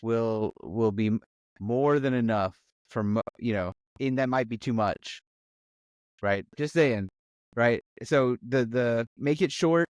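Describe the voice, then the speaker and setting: A man's voice: mid-range voice, takes it slowly. A man with a mid-range voice explains his advice conversationally in a dry, echo-free recording. He talks as a panelist, laying out points and checking that listeners follow. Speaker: discussion panelist on a game master advice show. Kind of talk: casual explanatory chat.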